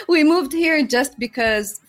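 A woman's voice: high voice, big pitch swings, slow. A woman from Jordan telling her personal story as a guest in a live interview, with hesitant, reflective phrasing. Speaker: podcast guest, entrepreneur. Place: live remote video call.

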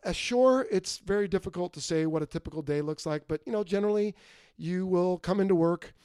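A man's voice: sits high, fairly quick, darkish tone, clear, resonant tone, no room sound, clean studio sound. A man in his forties hosting a podcast, answering a listener question and then interviewing a guest in an upbeat, emphatic way. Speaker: podcast host.

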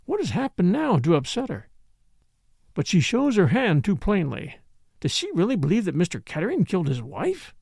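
A narrator voicing a coolly indifferent aristocratic Count in an audiobook, soft, polite and murmuring. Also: medium pitch, huge pitch swings, normal speed, vocal fry, breathy edge, no room sound, studio quality, exaggerated voice. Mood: musing. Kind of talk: audiobook character dialogue.

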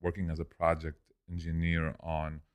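Young male guest, very deep voice, relaxed conversational podcast delivery. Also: moderate pace. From Lebanon. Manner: relaxed, says "dude" and "man" often. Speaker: guest, e-commerce entrepreneur. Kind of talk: casual podcast interview.

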